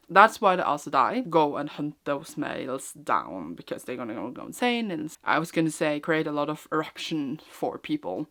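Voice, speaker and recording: A woman's voice: low-pitched, a bit bright. A young woman talking casually as a book vlogger, informal and gushing, rambling and correcting herself as she goes. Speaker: book vlogger and readalong host. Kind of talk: casual, chatty vlog talk.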